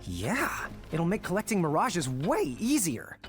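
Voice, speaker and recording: An actress playing a youthful, goofy and easygoing hero in a fantasy video game, talking casually. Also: female voice, on the low side, wildly swooping pitch, measured pace, soft background music. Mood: agreeable, casual. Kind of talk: video game cutscene acting.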